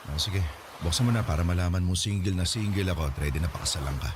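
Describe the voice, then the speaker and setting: A man playing a devoted and playful young groom-to-be in a radio drama, warm, teasing and sweet-talking. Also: low voice, fast, background noise, natural voice. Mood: loving. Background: background music.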